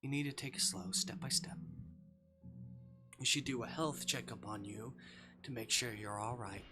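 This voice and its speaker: A man voicing a calm, reassuring male doctor from a visual novel, soothing and measured. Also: mid-range voice, music in the background, natural voice.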